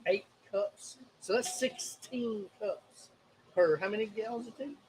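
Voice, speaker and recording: A man's voice: sits high, unhurried, darkish tone, slight background noise, low-quality recording. A man, co-hosting a casual chat in an easygoing, chuckling way. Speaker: co-host.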